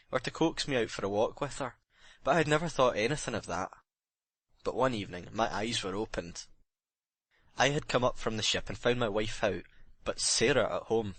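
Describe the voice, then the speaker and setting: A man's voice: mid-range voice, fairly bright tone, dry recording, close to an everyday voice. A man playing a bitter, remorseful sailor making a brooding, emphatic confession in a dramatic audiobook reading.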